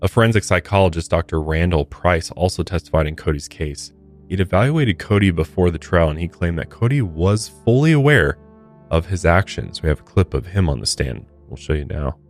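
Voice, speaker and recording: A man's voice: sits low, animated, a little fast, soft background music. A man co-hosting a podcast, discussing a true crime case in a conversational narrating style. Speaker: podcast co-host.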